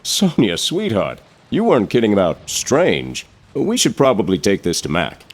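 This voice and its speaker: An actor playing a genial, doting mayor with effusive old-fashioned endearments in an audio drama. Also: male voice, on the low side, wildly swooping pitch, normal speed. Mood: genial, surprised. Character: genial, doting male mayor, secretly a clay facsimile.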